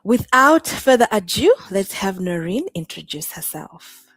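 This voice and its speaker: A woman's voice: mid-range voice, very animated, a little slow, no room sound. A woman from Zimbabwe, hosting a casual live-stream chat in a bubbly, exclamatory way. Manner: bubbly, exclaiming, laughs often. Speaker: host.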